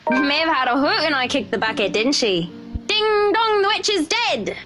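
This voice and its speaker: Defiant young female student, visual novel. Taunting, intense, high-pitched delivery over clear music. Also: very animated, moderate pace. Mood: bitter, mocking. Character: spiteful, defiant young female student who returns accusing. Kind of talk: dramatic visual novel acting. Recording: music under the voice.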